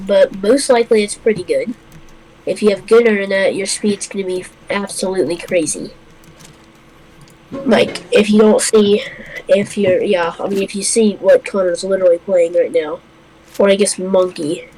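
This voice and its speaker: A woman's voice: mid-range voice, a little mellow, a little hiss or hum, low-quality recording. A congested woman hosting a casual chat, rambling and hesitant, with lots of fillers. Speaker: host.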